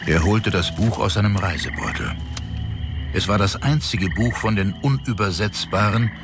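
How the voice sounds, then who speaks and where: Deep-voiced, creaky male storyteller. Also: lively intonation, moderate pace, over music. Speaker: narrator. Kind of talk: scripted dramatic storytelling narration.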